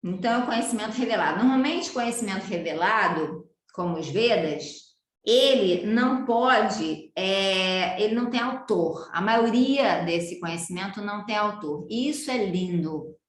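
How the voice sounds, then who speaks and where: A woman with a Brazilian accent teaching a yoga class over a video call. She talks in an animated way, often turning to her students with questions. Her voice sounds roomy, as if she is speaking in an echoing space. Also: medium pitch, moderate pace. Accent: Brazilian Portuguese. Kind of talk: live online class lecture. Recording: roomy echo.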